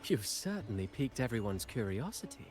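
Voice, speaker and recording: An actor playing an enigmatic, courtly traveling playwright in a video game, measured and theatrical. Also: male voice, lowish voice, big pitch swings, a little mellow, faint music behind. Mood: intrigued, probing. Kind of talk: dramatic video game acting.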